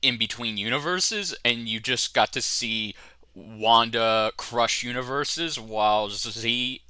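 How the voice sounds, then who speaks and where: A man's voice: mid-range voice, takes it slowly, bright, forceful tone, smooth, dry recording. A man co-hosting a casual review chat, talking in an enthusiastic, informal way. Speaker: co-host of a fan review show.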